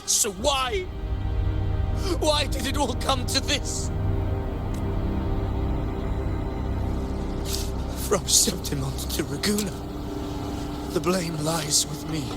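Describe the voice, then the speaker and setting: An actor playing a fallen gladiator turned grandiose, anguished mad king in a video game, speaking in a theatrical, declamatory way. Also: sits high. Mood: anguished regret. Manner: theatrical, declamatory, slow and brooding. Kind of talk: dramatic video game voice acting. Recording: loud music over the voice. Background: loud game music.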